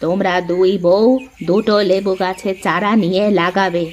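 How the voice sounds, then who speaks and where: A woman voicing an elderly, patient mother-in-law who moralizes in a measured, instructive way in a cartoon. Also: mid-range voice, little pitch movement, a little hiss or hum, natural voice. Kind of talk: dubbed moral cartoon dialogue.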